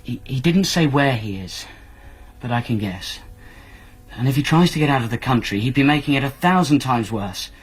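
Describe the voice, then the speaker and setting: A man's voice: mid-range voice, animated, normal speed, breathy edge. An actor playing a worried, protective young male student in a radio drama. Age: young adult.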